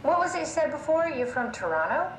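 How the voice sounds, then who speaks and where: A woman's voice: high voice, big pitch swings, brisk, faint music behind. An actress playing an inquisitive, baffled woman scientist in a sci-fi horror game, speaking in a questioning, incredulous way. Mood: puzzled, incredulous. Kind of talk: video game voice acting. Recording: roomy echo, clear background noise.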